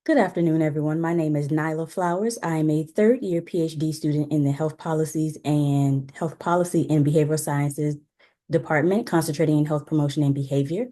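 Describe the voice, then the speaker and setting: Low, mellow-voiced young woman. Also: steady tone, fairly quick. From Charleston, United States. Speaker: PhD student, panelist. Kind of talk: brief self-introduction on a panel. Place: video call.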